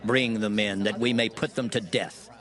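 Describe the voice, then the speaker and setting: A man's voice: lowish voice, steady tone, normal speed, fairly bright tone, soft background music, close to an everyday voice. Man acting a vengeful, demanding Israelite. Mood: angry, demanding. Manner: demanding, declamatory.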